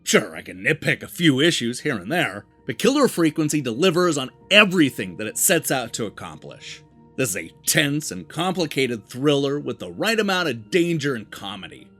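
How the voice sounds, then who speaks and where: A man delivering a scripted video game review as the show's host, with emphatic, deliberate phrasing. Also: medium pitch, very animated, fairly bright tone, breathy edge. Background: background music.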